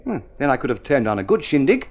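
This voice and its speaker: An actor playing a spoiled, idle young gentleman in an old radio drama, breezy and exclamatory, full of upper-class slang. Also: mid-range voice, very animated, quick pace. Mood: flippant, chatty. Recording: dull vintage radio recording.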